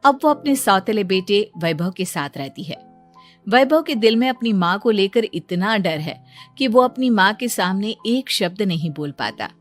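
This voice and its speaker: A woman's voice: medium pitch, animated, measured pace, soft background music. A woman reading a scripted moral story as its narrator.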